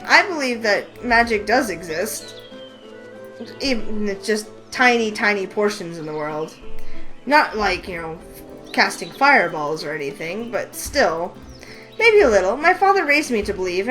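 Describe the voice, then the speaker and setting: A woman's voice: mid-range voice, very animated, normal speed, a bit bright, low-quality recording. A woman voicing an eager, curious young heroine in a game read-through, with faint music behind. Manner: eager, wide-eyed questions. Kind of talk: voice acting a visual novel.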